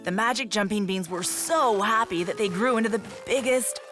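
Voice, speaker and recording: A woman's voice: medium pitch, moderate pace. A woman giving scripted narration for a children's storybook cartoon. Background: music.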